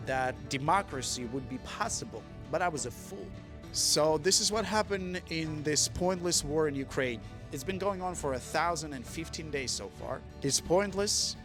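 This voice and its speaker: A young man from Russia with a Russian accent, speaking in a blunt, impassioned commentary monologue that includes swearing. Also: on the high side. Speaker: vlogger. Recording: music playing under the voice. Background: music.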